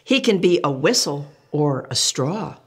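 Lively older woman reading to kids. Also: sits low, unhurried, breathy edge. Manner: animated, exclamatory storyteller who asks the child questions. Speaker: children's book reader. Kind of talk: reading a picture book aloud to children.

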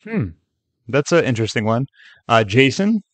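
A man's voice: medium pitch, big pitch swings, normal speed, a bit bright, dry recording. A man hosting a casual screen-share tutorial in a chatty way, with joking asides. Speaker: tutorial video host.

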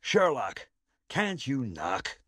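A man's voice: mid-range voice, wildly swooping pitch, slow pace, sharp tone, soft background music, exaggerated voice. A man playing an exasperated, wry private investigator in an audio play. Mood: irritated.

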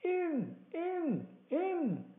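A man voicing a furious blind pirate beggar in an adventure storybook, shouting impatient orders. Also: very high-pitched, huge pitch swings, extremely slow, roomy sound, narrow, tinny audio, pitched above the performer's own voice, heavily acted. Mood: fierce, commanding. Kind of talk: dramatized adventure storybook reading.

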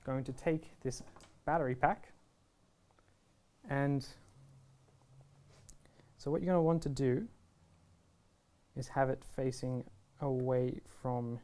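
A young man presents a how-to guide in a casual voice. He explains each step in an easygoing, reassuring manner, at a medium pitch. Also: level tone, slow, long pauses, dark tone. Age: 20s. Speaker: product reviewer and presenter. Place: indoor shop video studio, standing at a table.

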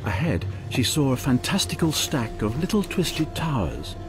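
An actor as the narrator of a radio drama, telling a fantasy story in measured, scripted storybook style. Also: male voice, mid-range voice, very animated, lightly acted. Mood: descriptive, atmospheric. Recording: music under the narration.

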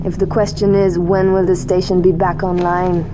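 An actress playing a brisk female military tech expert in a video game, dry and impatient, with clipped delivery. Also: fairly low pitch, little pitch movement, close to an everyday voice, poor audio. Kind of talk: video game cutscene acting. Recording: music behind the voice.

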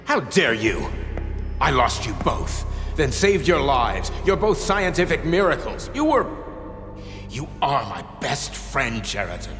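A coldly paternal scientist boss, middle-aged and theatrical, delivers measured, condescending lines. His pitch swings widely, and the voice rings in a reverberant hall over music, as in a game cutscene. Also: very animated, a bit bright. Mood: indignant, impassioned. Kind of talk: video game cutscene acting.

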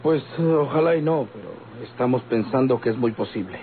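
A man acts out a courteous Mexican police commander, grave and worried. He speaks formally and deliberately in a mid-range voice. It is vintage radio drama, with thin, tinny sound and some hiss. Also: medium pitch, animated, natural voice. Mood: grave concern. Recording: tinny, hissy old radio recording.

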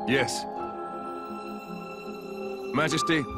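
A man's voice: mid-range voice, extremely slow, over loud music. A man playing a courteous, earnest young warrior prince in a fantasy game, polite and composed. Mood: resolute. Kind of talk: video game cutscene acting.

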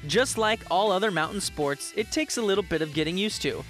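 A man's voice: sits high, expressive, fairly quick, fairly bright tone, faint music behind, low-quality recording. A young man reporting and narrating an upbeat travel segment, enthusiastic and chatty.

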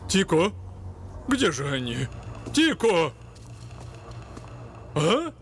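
A man voicing an anxious, fussy, kindly beaver-like gentleman in a cartoon dub, fretful and exclamatory. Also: high-pitched, huge pitch swings, drawn-out words, a little hiss or hum, exaggerated voice. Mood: shocked dismay.

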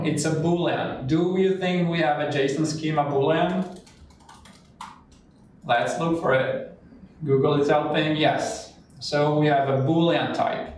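A man's voice: on the high side, a little mellow, heavy reverb, far from the mic, a little hiss or hum, low-quality recording. A man from Italy with an Italian accent, walking through a live coding demo and chatting as he thinks aloud while typing. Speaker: cloud developer presenter.

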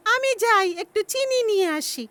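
A woman voicing a kindly, patient cartoon grandmother who talks affectionately and gently instructs children. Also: very high-pitched, moderate pace. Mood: gently firm.

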